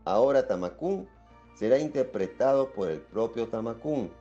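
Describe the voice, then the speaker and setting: A man giving scripted documentary narration in a steady, even tone. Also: mid-range voice, moderate pace, highs cut off, soft background music.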